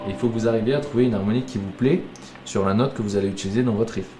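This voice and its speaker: Guy with a cold teaching guitar, lowish voice, loud guitar playing behind him. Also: rapid-fire. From southern France. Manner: relaxed, chatty, explains step by step with fillers. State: has a cold, coughing. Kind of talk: casual guitar lesson.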